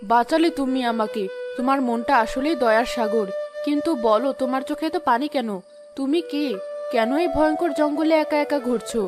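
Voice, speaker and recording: High-voiced, gentle forest fairy, played by a woman. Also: moderate pace, over music, lightly acted. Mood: concerned. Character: gentle, wise female forest fairy bound to tree. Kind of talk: cartoon fairy-tale acting.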